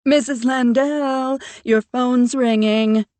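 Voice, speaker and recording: A woman voicing a hurried young man who brings over a ringing phone, in an audiobook. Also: lowish voice, darkish tone, dry recording. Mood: urgent. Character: young male helper, hurried and eager.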